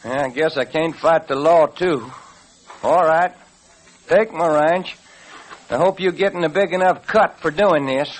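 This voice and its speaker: An actor playing a wronged, indignant rancher, earnest and protesting, with an American Western accent in an old radio western. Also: male voice, fairly high pitch, measured pace. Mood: bitter. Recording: faintly noisy old radio recording.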